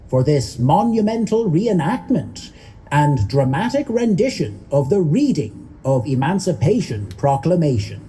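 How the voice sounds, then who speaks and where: A man presenting a ceremony with a formal, scripted welcome speech in a measured manner. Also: on the high side, mellow, slight background noise. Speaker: event presenter.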